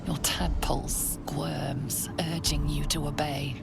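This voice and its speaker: A woman playing an ominous narrator in a fantasy game, with a measured, deliberate delivery of scripted lines. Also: low-pitched, flat delivery, creaky, loud music behind the voice, full character voice.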